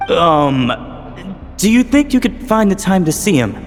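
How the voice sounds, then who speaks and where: An actor playing a desperate, obsessive researcher in a video game, with an intense, volatile delivery and long reverb on the voice. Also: male voice, high voice, big pitch swings, measured pace, a little hiss or hum, full character voice. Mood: pleading. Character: desperate, obsessive male researcher hiding secret experiments. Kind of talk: dramatic video game voice acting. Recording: long hall reverb over music.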